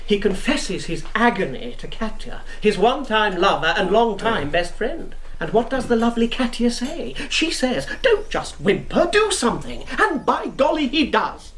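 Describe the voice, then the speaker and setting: Casting: tipsy, sardonic intelligence officer, slow lively delivery, roomy radio sound. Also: male voice, high voice, heavily acted. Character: boozy sardonic male British intelligence officer. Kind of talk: radio drama acting.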